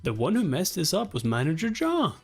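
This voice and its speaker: Man acting a high, defensive, scheming office manager. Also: wildly swooping pitch, brisk, husky, soft background music, exaggerated voice. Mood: indignant. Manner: indignant, accusatory.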